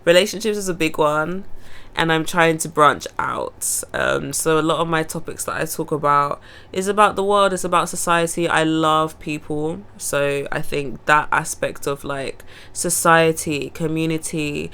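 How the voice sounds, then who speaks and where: A woman's voice: deep voice, unhurried, vocal fry, sharp tone, clear, resonant tone. A young woman, a singer-songwriter with a cold, chatting casually and rambling about her new song. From London. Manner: rambling, chatty, laughs at herself. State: has a cold, sniffling. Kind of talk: casual chat to camera.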